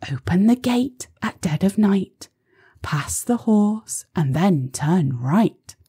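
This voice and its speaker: A woman voicing a sneaky male thief in a children's storybook, hushed and conspiratorial. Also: mid-range voice, very animated, slow pace, creaky, slightly rough, pitched above the performer's own voice, exaggerated voice. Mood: furtive plotting. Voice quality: hushed, whispery. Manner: furtive, conspiratorial. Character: two sneaky male cattle thieves plotting in whispers.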